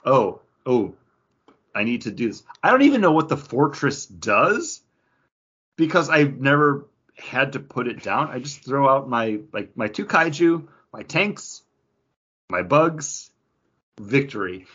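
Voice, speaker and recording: A man co-hosting a podcast, chatting casually about a mobile game in a rambling, animated way. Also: mid-range voice, measured pace, slightly rough, highs cut off. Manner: rambling, animated, acts out his own reactions. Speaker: podcast co-host.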